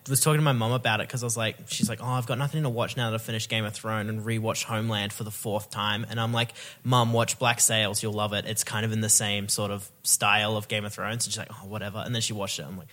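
A man's voice: fairly low pitch, flat delivery, fast, hardly any pauses, fairly bright tone. A young man appearing as a podcast guest, chatting casually and telling small anecdotes. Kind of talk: casual chat.

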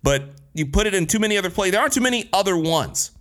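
A man's voice: sits high, expressive, fast, a bit bright. A man in his thirties from Detroit, hosting a solo podcast in a casual, candid way, with slang and cursing. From Detroit, USA. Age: 30s. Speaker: podcast host.

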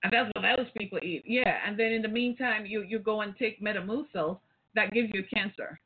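A woman's voice: mid-range voice, steady tone, fairly quick, husky. Caribbean-accented woman podcaster over a phone line. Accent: British Caribbean. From British Caribbean. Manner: preachy, rhetorical, tags points with "right?". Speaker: podcast host. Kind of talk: casual solo podcast commentary. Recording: phone-like, narrow band.

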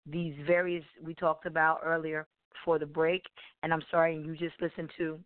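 A woman hosting a live radio interview, introducing her guest in a rambling, halting way while losing her voice. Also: sits low, level tone, clean tone, no echo. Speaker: radio show host. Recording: tinny phone-like radio audio.

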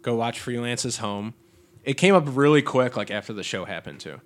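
Excitable man chatting about wrestling. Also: medium pitch, normal speed. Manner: excitable, swears freely, lots of fillers. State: sniffly from allergies. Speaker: podcast co-host. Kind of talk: casual wrestling chat. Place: Chicago area.

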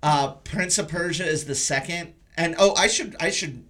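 A man's voice: fairly high pitch, moderate pace, a bit bright. A man hosting a podcast, chatting casually and informally about films. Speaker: podcast host. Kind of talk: casual film discussion.

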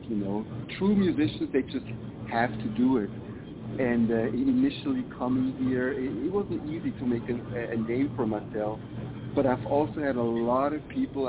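Man on a noisy phone line. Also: mid-range voice. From Switzerland. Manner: reflective, hesitant, repeats words often. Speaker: musician guest. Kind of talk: casual interview answers. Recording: tinny phone line with noise.

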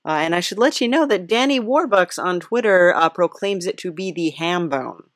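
Female podcast host, slightly low voice, hesitant and giggly, casual comic interviewing, dry close sound. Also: animated, moderate pace, dry recording. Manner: giggly, hesitant, awkwardly chirpy.

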